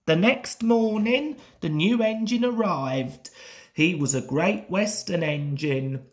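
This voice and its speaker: A woman's voice: lowish voice, takes it slowly. A woman reading a children's story aloud as scripted narration.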